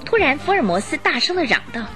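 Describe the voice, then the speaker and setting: A woman narrating a radio drama in an expressive, measured, scripted storytelling style. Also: high voice. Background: music under the voice.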